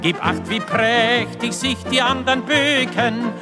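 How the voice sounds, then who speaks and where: Slow sung verse, satirical cabaret chanson, prominent musical accompaniment. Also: mid-range voice. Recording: music behind the voice.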